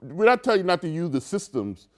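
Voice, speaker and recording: A man's voice: sits high, big pitch swings, fast. A man co-hosting a community talk show, speaking earnestly and often restarting as he searches for words. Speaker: co-host. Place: local TV studio.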